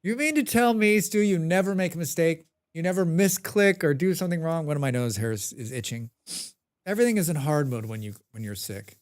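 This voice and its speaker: A man's voice: a little high, expressive, brisk, clear, resonant tone. A man with a head cold chatting casually while live-streaming a game, humming to himself and answering his chat.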